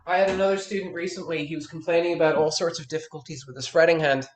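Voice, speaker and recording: A man's voice: a little high, steady tone, fast talker, roomy sound, a little hiss or hum, poor audio. A man in his thirties, a guitar teacher, talking frankly in a one-on-one consultation and restarting his words as he stresses his points. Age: 30s.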